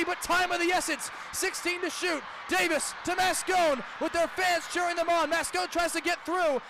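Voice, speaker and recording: A young American man calling live lacrosse play-by-play for radio, with emphatic, dramatic calls that swell into shouting. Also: extremely high pitch, slight background noise. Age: young adult. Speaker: student radio play-by-play broadcaster. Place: stadium, calling games in person.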